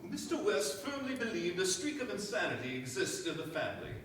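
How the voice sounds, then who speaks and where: A formal, authoritative family lawyer in a radio play, acted by a man. The voice is high and animated yet official, heard from a distance in a very echoey space. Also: brisk. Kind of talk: staged radio play acting. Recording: echoey stage, distant mic, faint hiss.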